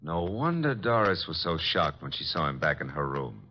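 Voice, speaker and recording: A man playing a brisk, wisecracking detective's assistant in a vintage radio drama, casual and inquisitive. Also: a bass voice, very animated, full character voice. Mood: puzzled, inquisitive. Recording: muffled vintage radio recording.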